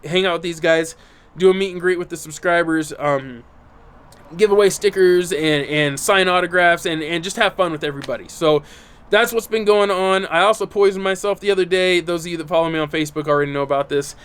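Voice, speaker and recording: A man's voice: high-pitched, a little fast, fairly bright tone, smooth. A man hosting his own channel, talking casually to the camera outdoors, sounding stuffy and congested, and often checking in with "right?" and "okay?". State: stuffy, congested from burnt lungs. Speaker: YouTube channel host. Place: outdoors in bright sun.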